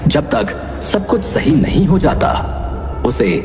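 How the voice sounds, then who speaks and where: A man's voice: high-pitched, big pitch swings, slow, roomy sound, in a large hall, exaggerated voice. A man voicing a grave, commanding holy man in a horror audio story, speaking solemnly over music. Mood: solemn warning. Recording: loud music under the voice.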